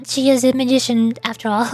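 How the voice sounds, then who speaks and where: A woman's voice: a little high, level tone, brisk, a little mellow. A woman streamer giving casual, chatty live commentary on a game in a playful way. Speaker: VTuber streamer. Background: faint music.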